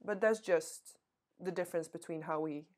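A young woman on a podcast, talking reflectively and hesitantly, often trailing off softly. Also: fairly low pitch, normal speed. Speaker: podcast co-host. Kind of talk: casual chat.

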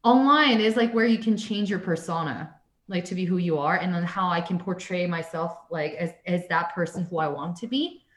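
A woman's voice: lowish voice, moderate pace. A young woman from the United States, a guest artist chatting casually in an interview, rambling with lots of fillers and laughing often. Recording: echoey room.

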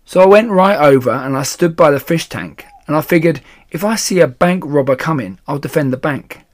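A man's voice: a little high, a little fast, close to an everyday voice. A man voicing a weary, earnest bank security guard in a children's storybook. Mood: resigned.

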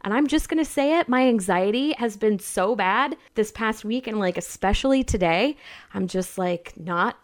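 A woman's voice: mid-range voice, animated, normal speed. A woman co-hosting a podcast in a casual chat, frank and sassy, saying "like" a lot. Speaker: podcast co-host.

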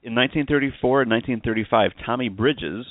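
A man's voice: medium pitch, fast talker, no room sound, narrow, tinny audio. A man hosting a podcast in a casual, chatty sports style. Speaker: podcast host.

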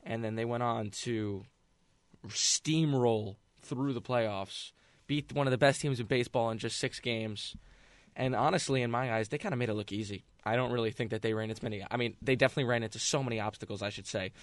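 A man's voice: mid-range voice, a little fast, dry recording. A young man co-hosting a casual sports talk show, a Mets fan who argues his points emphatically.

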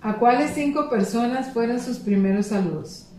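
A woman reading out questions in a plain, even way. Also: fairly high pitch, normal speed, slight background noise. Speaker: question reader. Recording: echoey room from far off.

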